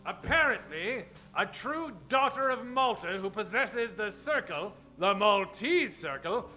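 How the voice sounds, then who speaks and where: An actor playing an excitable, pompous private detective in an improvised old-time radio parody, theatrical and exclamatory. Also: male voice, sits high, unhurried, heavily acted. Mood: pompous explaining.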